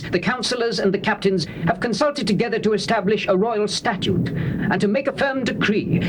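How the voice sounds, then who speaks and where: A man acting a scheming court official in a scripture drama, petitioning the king in a formal, insistent, declamatory way. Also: sits high. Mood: obsequious, formal. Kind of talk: dramatized scripture, theatrical delivery. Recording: noisy old cassette tape recording.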